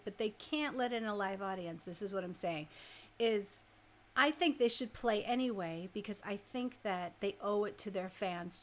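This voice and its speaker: A woman with a fairly high voice hosts a radio show in a casual, opinionated way. She talks informally and conversationally, as if thinking out loud to the listener. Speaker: radio DJ and host.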